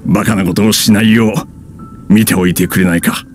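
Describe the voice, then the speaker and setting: A man's voice: fairly low pitch, steady tone, fairly quick, lightly acted. A man voicing a world-weary, disapproving village hunter in an anime video game, grave and resigned in manner. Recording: music behind the voice.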